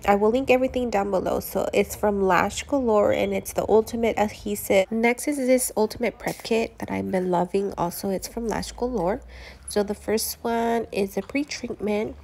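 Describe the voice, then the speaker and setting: A woman's voice: mid-range voice, vocal fry, a little mellow. A young woman, congested from allergies, chatting casually as she reviews her lash products, with many fillers and restarts.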